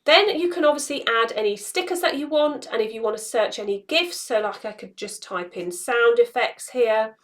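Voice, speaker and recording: A woman's voice: on the high side, moderate pace, hardly any pauses. A woman around her forties hosting a casual instructional walkthrough, explaining things step by step. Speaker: social media tutorial host. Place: small indoor room.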